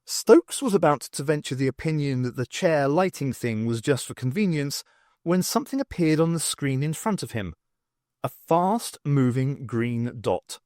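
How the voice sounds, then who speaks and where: A man reading an audiobook story as scripted narration, in an expressive, dramatised manner. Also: fairly high pitch, moderate pace, no room sound, studio quality. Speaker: audiobook reader. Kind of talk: dramatised reading with voices for characters.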